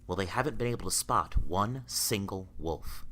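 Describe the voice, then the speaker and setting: A man's voice: low voice, lively intonation, moderate pace, pushed and bright, slight background noise. A man acting an earnest, troubled and courteous doctor in a vintage radio drama, speaking with theatrical urgency. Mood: worried, puzzled. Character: desperate, baffled male doctor, earnest and courteous.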